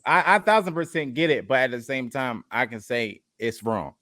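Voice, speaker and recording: A man's voice: mid-range voice, expressive, normal speed, bright, forceful tone, no room sound, studio quality. A man co-hosting a casual review show with his wife, talking in a laid-back way about reality TV. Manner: conversational, laid-back, repeats "you know what I mean". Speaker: podcast co-host; married partner of co-host.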